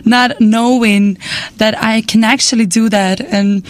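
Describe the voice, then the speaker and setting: A young woman from Estonia speaking English with an Estonian accent, a jazz singer talking as a radio guest, pausing often to search for words and laughing often. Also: on the high side, fairly even intonation. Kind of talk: casual radio interview.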